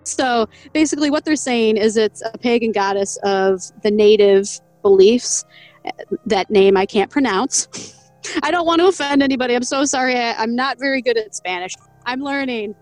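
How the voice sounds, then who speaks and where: A woman's voice: fairly high pitch, moderate pace, darkish tone, no room sound, faint music behind. Chatty woman reading a legend aloud. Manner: chatty, laughs often, stumbles on Spanish names. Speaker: podcast co-host. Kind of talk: casual chat reading from an article.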